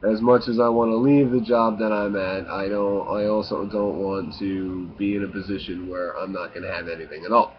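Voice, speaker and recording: A man in his late twenties giving a casual, confessional vlog monologue, with halting, stop-and-start phrasing. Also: lowish voice, little pitch movement. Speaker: video blogger. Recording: muffled lo-fi with faint hiss.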